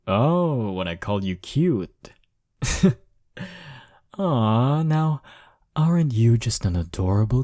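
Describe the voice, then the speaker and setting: Deep-voiced, cocky flirtatious college rival acted by a man. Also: no room sound, studio quality. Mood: teasing, sincere. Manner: smug, flirtatious, drawling teasing with soft chuckles. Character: cocky, teasing male college rival smitten with listener. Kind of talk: intimate romantic audio roleplay.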